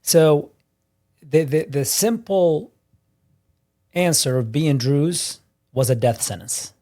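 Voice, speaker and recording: A man hosting a conversational interview, restating points deliberately and asking clarifying questions. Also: a little high, expressive, dark tone. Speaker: interview host.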